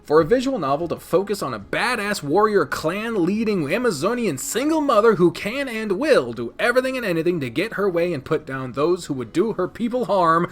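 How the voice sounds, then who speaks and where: A man's voice: high-pitched, big pitch swings, brisk, hardly any pauses, soft background music. A man hosting a casual countdown review of video games, rambling and informal, swearing freely and speaking with emphatic opinions. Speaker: video game reviewer and channel host. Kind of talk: casual countdown review commentary, partly scripted.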